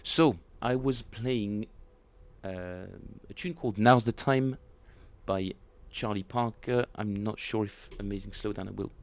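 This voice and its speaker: A man with a French accent, chatting casually and self-mockingly with viewers as he streams his bass practice, often chuckling. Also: measured pace, darkish tone. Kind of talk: casual livestream chat.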